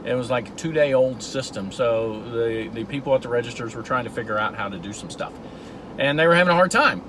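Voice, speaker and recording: A man's voice: mid-range voice, fairly quick, noisy background. A middle-aged man from Georgia, telling a story in an earnest casual way as he drives. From Georgia, USA. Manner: earnest, storytelling, with repeated restarts. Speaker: vlog host. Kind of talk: casual talk while driving. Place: in a car.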